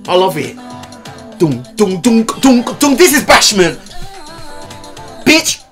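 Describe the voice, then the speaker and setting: A man's voice: high-pitched, very animated, takes it slowly, fairly bright tone. A young man hosting a music video reaction from his bedroom, sassy and exclamatory, swearing freely. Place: bedroom at home.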